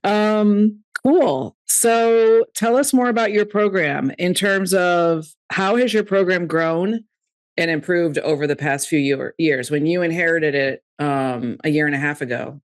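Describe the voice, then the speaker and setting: Brief: low-pitched woman podcast host, upbeat and encouraging, quick to laugh. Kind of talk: casual interview.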